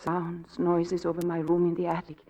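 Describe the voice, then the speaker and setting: A woman's voice: lowish voice, completely flat delivery, normal speed, warm tone. An actress playing a frightened, fragile wife who doubts her own sanity, in 1940s radio drama style, breathless and hesitant. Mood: anxious confiding. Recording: muffled old radio recording.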